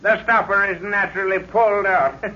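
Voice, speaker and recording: A man playing a mocking, gloating mad villain inventor in an old radio serial melodrama, speaking with slow, chuckling menace. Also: high-pitched, bright, forceful tone, breathy edge, exaggerated voice. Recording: muffled old radio recording.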